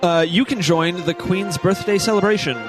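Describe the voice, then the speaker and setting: A man's voice: sits high, moderate pace, music in the background. A man co-hosting a talk show, casually reading out news items with offhand asides.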